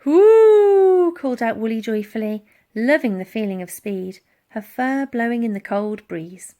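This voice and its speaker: A woman reading a children's storybook aloud in a dramatic, expressive narrating style. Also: mid-range voice, normal speed. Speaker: audiobook reader.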